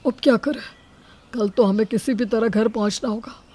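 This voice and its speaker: A woman's voice: on the high side, steady tone, moderate pace, a little mellow, pitched above the performer's own voice, lightly acted. A woman voicing a worried, anxious parent in a family moral story.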